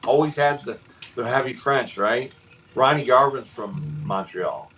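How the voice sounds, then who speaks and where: Man, middle-aged, podcast host, former wrestler, rambling, animated, chatty, mid-pitched. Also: unhurried, a little hiss or hum. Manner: animated, rambling, tangents and restarts. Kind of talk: casual storytelling chat.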